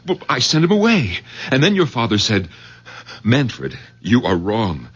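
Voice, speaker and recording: A man's voice: medium pitch, wildly swooping pitch, unhurried, husky, exaggerated voice. An actor playing an overwrought, insistent doctor in a 1970s radio drama, speaking urgently and repeating his words in awe. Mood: fervent confession. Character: overwrought doctor son-in-law, awed and insistent. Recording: muffled old radio drama recording.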